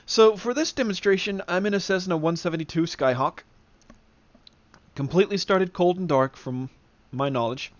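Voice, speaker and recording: A man giving a casual spoken tutorial as an enthusiast, in a halting way with frequent throat clearing. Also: high voice, no echo. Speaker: demonstrator, flight simulator enthusiast. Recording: dull lo-fi close dry recording.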